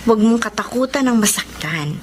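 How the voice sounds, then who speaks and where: A woman's voice: a little high, expressive, moderate pace, heavy background noise, lightly acted. An actress playing a chatty, teasing female best friend in a classic radio drama. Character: Miriam, lively loyal woman best friend.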